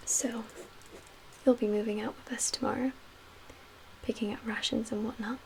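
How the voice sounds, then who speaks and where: Woman as calm female apocalypse survivor leader, ASMR roleplay: half-whispered, lightly high voice, unhurried pauses, guarded but reassuring. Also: poor audio. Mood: calm, instructive. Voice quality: soft, breathy, half-whispered. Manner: hushed, measured, gently reassuring with pauses. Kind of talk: soft-spoken ASMR roleplay. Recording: audible background noise.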